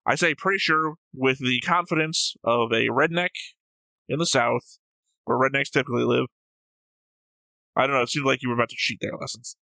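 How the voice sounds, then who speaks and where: A man's voice: medium pitch, normal speed, gravelly, pauses often, pushed and bright, no room sound, rough recording. A man co-hosting a reaction show, talking casually and argumentatively, picking apart and correcting what his co-host says.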